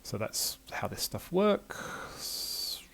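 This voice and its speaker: An older man giving casual let's-play commentary, musing to himself and reading game text aloud in a low-key way. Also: sing-song, extremely slow, gravelly, fairly bright tone, a little hiss or hum. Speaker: game streamer.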